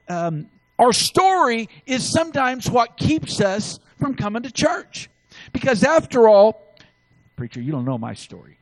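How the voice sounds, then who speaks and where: A middle-aged man delivers an emphatic sermon in a fairly high voice, stopping and restarting his phrases as he reads scripture aloud. The sound is close and dry, with almost no room echo. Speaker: preacher.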